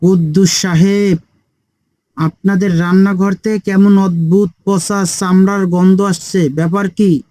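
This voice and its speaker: A man voicing a nosy, greedy, excitable neighbour uncle in a comedy cartoon, blustering and exclamatory. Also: high voice, measured pace, no room sound. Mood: nosy, eager.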